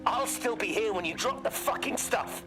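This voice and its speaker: An actor playing a furious, commanding man in a video game drama, forceful and demanding. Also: high voice, animated, normal speed. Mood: furious, urgent. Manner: forceful, swearing. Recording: music under the voice.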